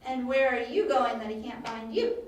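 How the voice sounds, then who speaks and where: A woman acts as a plucky street girl in an audio drama, heard in a very echoey room. Her voice is high and swings freely up and down. She comes across pert, teasing and independent. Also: animated, moderate pace, close to an everyday voice. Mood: wary, amused. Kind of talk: hobby audio drama acting. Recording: very echoey, distant, faint hiss.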